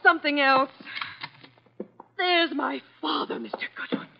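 An actress playing a brittle, highly strung young client, intense and theatrical, in a 1950s radio drama. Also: female voice, unhurried, creaky, noisy background. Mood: hysterical, shocked. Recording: dull, lo-fi 1950s radio recording.

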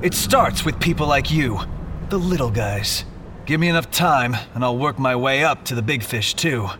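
A pushy, righteous street detective played by a male actor in a sci-fi radio play. His voice is sharp and very animated, always needling and challenging. A faint rumble of traffic runs behind him. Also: moderate pace, natural voice. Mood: righteous, determined. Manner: confrontational, needling, self-righteous. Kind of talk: audio drama acting.

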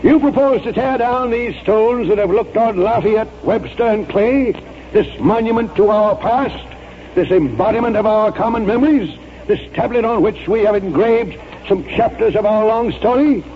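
A proud old army colonel with a high voice and grand, formal oratory. He is stubborn and pompous, in classic radio drama style. The old recording is muffled, with audible noise. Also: normal speed. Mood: indignant, defiant. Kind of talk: 1940s radio drama acting.

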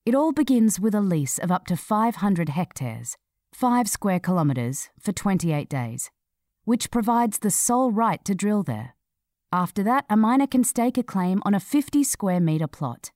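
A woman narrating a scripted feature article in a measured, clearly read delivery. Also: lowish voice, expressive, darkish tone, no echo, clean studio sound.

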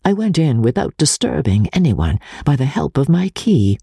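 A man narrating classic literature in the first person as a dramatic reading, in a measured, formal, deliberate manner. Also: mid-range voice, very animated, gravelly, warm tone, slightly rough, no room sound, clean studio sound. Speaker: audiobook narrator.

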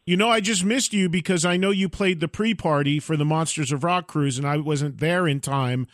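A man's voice: on the high side, moderate pace, dry recording, studio quality. A middle-aged man hosting a rock interview show, chatty and enthusiastic. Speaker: radio and podcast host. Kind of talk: interviewing a guest.

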